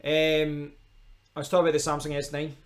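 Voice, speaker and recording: A young man with a strong Glaswegian Scottish accent, talking casually from his kitchen. His voice sits a little high, and he rambles with many restarts and asides to his viewers. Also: completely flat delivery, a little fast, a little hiss or hum. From Scotland. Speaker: live streamer. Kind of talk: casual rambling live stream chat. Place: kitchen at home.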